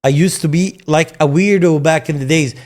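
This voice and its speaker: A man's voice: a little high, moderate pace, a little mellow. A man in his forties whose first language is Arabic, hosting a casual interview in English, often stammering and restarting his words. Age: 40s. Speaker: streamer and host.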